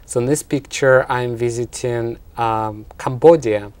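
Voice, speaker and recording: A man with a Russian accent gives explanatory answers as an interview guest. His voice sits at an average male pitch. The sound is dry, with hardly any room around it. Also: measured pace, darkish tone, smooth, dry recording. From Russia and Ukraine. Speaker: college business instructor, interview guest.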